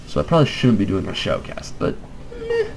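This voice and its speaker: A man's voice: medium pitch, wildly swooping pitch, brisk, slight background noise. Stuffed-up young man casting a game. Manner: mellow, quiet, joking asides. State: stuffed-up nose, lightheaded. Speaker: game caster. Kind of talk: laid-back game commentary.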